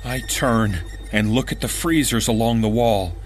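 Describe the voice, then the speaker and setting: A man reading a first-person horror story in a measured, dramatic way, as an audiobook narrator. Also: lowish voice, faint music behind.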